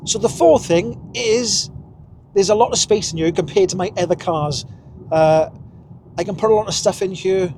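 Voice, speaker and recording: Welsh man in his 50s, higher voice, rambling car-vlog chat, faint road hum behind. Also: normal speed, darkish tone. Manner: chatty, rambling, chuckles at himself. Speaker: vlogger. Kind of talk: casual driving vlog. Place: inside a car while driving.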